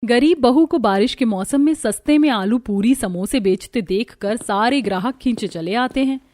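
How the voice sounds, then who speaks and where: High-pitched woman narrating a story. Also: moderate pace, darkish tone. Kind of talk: scripted moral-story narration. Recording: music behind the voice.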